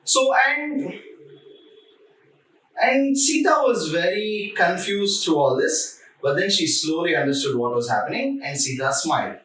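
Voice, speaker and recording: A man's voice: high voice, big pitch swings, a little slow, a bit bright. A man telling a story to children in a conversational, explanatory way, often repeating his phrases. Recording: very echoey room, distant mic.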